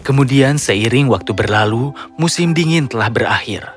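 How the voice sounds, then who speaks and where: A man narrates a children's story in a middle-pitched voice. His delivery is scripted storytelling, set over clear background music. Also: animated, moderate pace.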